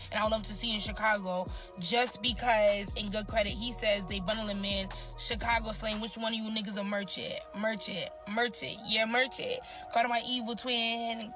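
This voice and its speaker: A woman in casual chat, telling a story in an animated, slangy, expressive way. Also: a little high.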